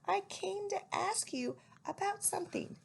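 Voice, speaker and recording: A woman reading aloud, voicing a young male barn owl chick who is nervous and eager, in a high childlike voice. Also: animated, unhurried, creaky, full character voice. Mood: earnest.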